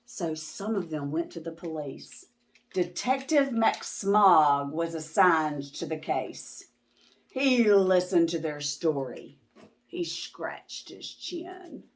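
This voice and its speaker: Casting: female read-aloud narrator for children, unhurried, dramatic, highly animated pitch. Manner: dramatic, deliberate. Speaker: children's storybook reader.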